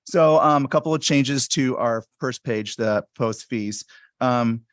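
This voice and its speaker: A soft-spoken man presenting school fees at a public board meeting, talking into a stand microphone with many ums and restarts. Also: medium pitch, takes it slowly, dry recording, studio quality. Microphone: stand microphone at a meeting table.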